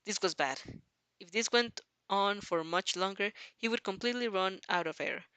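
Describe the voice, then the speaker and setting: A woman reading a dramatic fan fiction story aloud as narrator, halting now and then over the text. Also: medium pitch, pushed and bright, smooth, dry recording. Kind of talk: dramatic audio story narration in second person.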